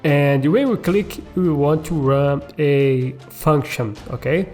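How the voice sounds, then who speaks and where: Guy doing a coding tutorial, slow casual step by step, music in the back. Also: fairly high pitch, darkish tone. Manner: checks in often with tag questions like okay and all right. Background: background music.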